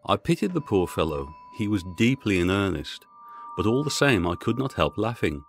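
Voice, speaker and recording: Low-voiced man narrating gothic horror, music underneath. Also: sing-song, moderate pace, vocal fry. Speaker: story narrator.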